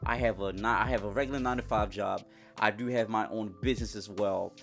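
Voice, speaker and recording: A man's voice: lowish voice, steady tone, fast talker, vocal fry, fairly bright tone, soft background music. A young man from Congo whose first language is French, talking casually and energetically straight to his viewers in a vlog, full of slang. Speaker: YouTuber. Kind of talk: casual motivational vlog talk.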